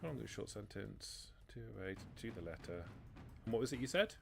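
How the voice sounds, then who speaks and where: A man running a tabletop roleplaying game as game master, explaining rules and narrating in halting, self-correcting phrases. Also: lowish voice, big pitch swings, creaky. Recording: noisy hobby livestream audio.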